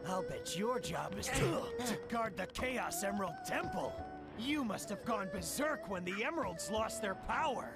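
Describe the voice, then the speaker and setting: Animated, easygoing young hero. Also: male voice, sits high, normal speed. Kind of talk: video game cutscene acting. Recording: quiet game audio under loud music.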